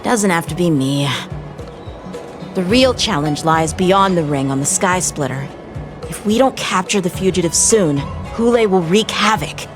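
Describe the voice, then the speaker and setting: A woman's voice: fairly low pitch, lively intonation, music in the background. An actress voicing a short, dutiful boy lieutenant who speaks earnestly and protests readily. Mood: determined. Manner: earnest, determined, quick to protest. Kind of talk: game voice acting.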